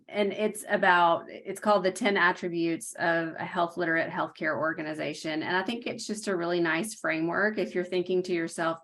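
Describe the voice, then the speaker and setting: A woman's voice: fairly low pitch, fairly bright tone. A woman on a webinar panel, explaining health literacy work in a practical, explanatory way over a video call. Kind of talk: panel discussion.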